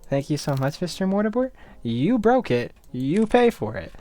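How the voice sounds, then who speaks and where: A man's voice: on the high side, big pitch swings, normal speed, mellow, faint music behind, pitched above the performer's own voice. A man voicing a brash, teasing detective's partner who scolds and cares, in a visual novel. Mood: exasperated, mocking.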